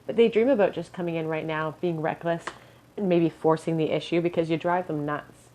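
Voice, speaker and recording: A woman giving a pick-a-card tarot reading in a musing tone, her voice a little hoarse and scratchy, often clearing her throat. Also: low-pitched, fairly even intonation, brisk, warm tone. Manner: musing, hedges with "I feel". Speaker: tarot reader.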